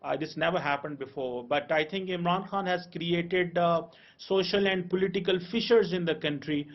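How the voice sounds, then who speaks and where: High-voiced Pakistani male commentator. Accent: Pakistani English. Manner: halting, repetitive explaining. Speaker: political commentator, guest. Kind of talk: live interview analysis. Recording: slightly dull remote-guest audio.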